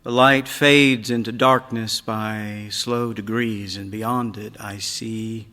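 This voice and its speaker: A man reading a literary story aloud on stage, in a measured, dramatic way that moves between hush and sudden swells. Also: fairly low pitch, fairly even intonation. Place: large theatre stage. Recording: reverberant hall, live stage reading.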